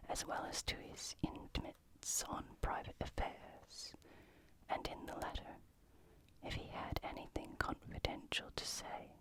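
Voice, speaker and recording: Slow, whispering ASMR host. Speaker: host reading aloud and explaining.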